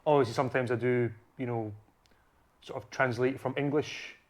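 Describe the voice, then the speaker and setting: A man's voice: mid-range voice, steady tone, normal speed. A young man from Bulgaria with a Scottish accent, his first language Bulgarian, chatting as a podcast guest and hesitating often. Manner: hesitant, many fillers and restarts. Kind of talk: casual podcast chat.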